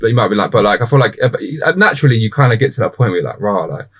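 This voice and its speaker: A man's voice: on the low side, lively intonation, very fast, fairly bright tone, dull audio. A man in his twenties hosting a casual podcast conversation, slangy and rambling, often cutting in. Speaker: podcast host.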